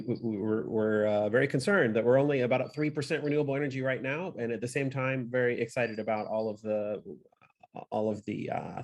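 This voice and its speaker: A man from Missouri talks through a slide presentation in a fairly low voice with a Midwestern American accent. He sounds earnest and conversational, often restarting phrases and filling pauses with "uh" and "um.". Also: darkish tone. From Missouri, USA. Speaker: conference speaker for a solar industry association. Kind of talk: online conference presentation with slides.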